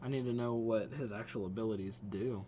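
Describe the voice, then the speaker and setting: A man's voice: fairly low pitch, fairly even intonation, fairly quick, mellow, dull audio, slight background noise. A young man hosting a reaction video with casual, off-the-cuff commentary.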